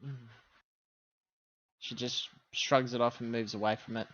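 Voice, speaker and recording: A man's voice: medium pitch, level tone, a little slow, a bit bright, dull audio. A man chatting casually as a player in a tabletop roleplaying game.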